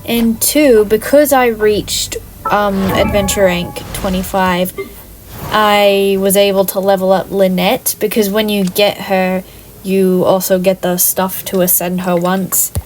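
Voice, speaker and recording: A woman's voice: mid-range voice, steady tone, a little slow, low-quality recording. Sick woman mumbling through a game. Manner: hesitant, with many ums and trailing restarts. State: sick, sore throat. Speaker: gaming channel host. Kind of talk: casual let's-play commentary. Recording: voice over game music.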